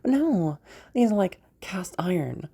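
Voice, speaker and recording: Casting: woman to play amused, flirty-teasing fantasy sorceress for roleplay audio, low-pitched, gravelly edge. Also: sing-song, dark tone, full character voice. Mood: curious, puzzled. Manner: playful, giggly, teasing, hesitant pauses. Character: teasing, amused magical woman freeing shackled prisoners.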